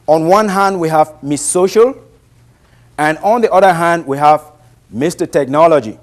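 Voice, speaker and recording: A fairly high-voiced man with a Cameroonian accent, who grew up speaking a Bantu language, delivers a motivational presentation. He speaks deliberately and with emphasis, posing rhetorical questions to his listeners as a practised presenter would. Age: late 30s.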